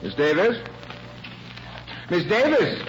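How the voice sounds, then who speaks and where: An actor playing a concerned, paternal family lawyer in a 1940s radio drama, earnest, emphatic and formal. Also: male voice, sits high, big pitch swings, slow pace, music in the background, full character voice. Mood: brusque, unsettled. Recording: muffled vintage radio recording, faint hiss.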